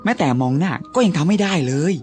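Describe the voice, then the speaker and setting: A high-pitched male servant with a mocking, gossipy streak. He talks in earthy, colloquial style, as in a period radio play, with faint music behind him. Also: expressive, fast, a little mellow. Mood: teasing. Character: teasing, gossipy male servant in an old household. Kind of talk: radio drama acting. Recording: studio radio drama with music.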